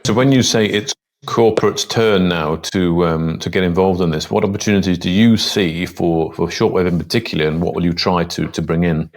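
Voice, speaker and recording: A man hosting a business interview, asking brisk, affable questions. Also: low voice, steady tone.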